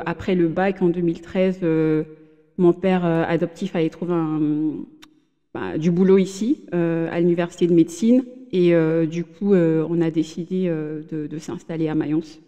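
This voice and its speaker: A woman's voice: fairly low pitch, steady tone, unhurried, dark tone, clean tone, long reverb tail, lo-fi sound. Hesitant woman in her thirties. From Benin. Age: 30s. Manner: hesitant, many fillers and restarts. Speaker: podcast guest. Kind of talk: casual interview chat. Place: room in a home in Germany.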